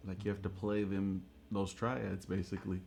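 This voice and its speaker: Low-pitched man teaching guitar licks. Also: level tone, moderate pace, gravelly, a little hiss or hum. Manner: colloquial, says y'all. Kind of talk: casual music lesson chat with guitar demonstrations. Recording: loud guitar playing alongside the voice. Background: electric or acoustic guitar playing.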